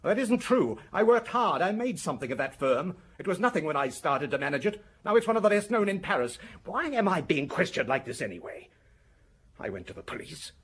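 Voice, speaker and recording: A man's voice: high-pitched, very animated, brisk, a bit bright, a little hiss or hum, full character voice. A man in a radio drama playing a defensive, bitter pharmacist of forty, precise and prickly in his speech. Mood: bitter, agitated. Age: 40s. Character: defensive, bitter forty-year-old male provincial pharmacist suspect.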